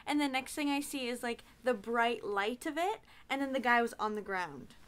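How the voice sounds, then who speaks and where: High-voiced young woman chatting casually. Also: expressive, moderate pace, a bit bright. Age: young adult. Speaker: podcast guest. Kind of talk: casual chat, storytelling.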